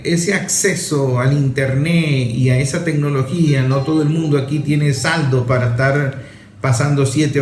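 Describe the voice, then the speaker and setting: Man, 40s, slightly high voice, emphatic conversational commentary with repetition. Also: a little mellow, roomy sound. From Venezuela. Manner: emphatic, repeats and lists for effect. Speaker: father and author speaking on a talk show.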